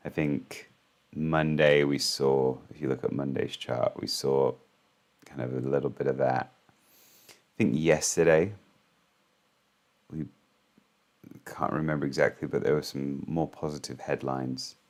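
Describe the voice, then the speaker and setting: Hoarse man with a very deep voice. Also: darkish tone. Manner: halting, with frequent ums and restarts. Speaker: trading channel host. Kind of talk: casual market commentary.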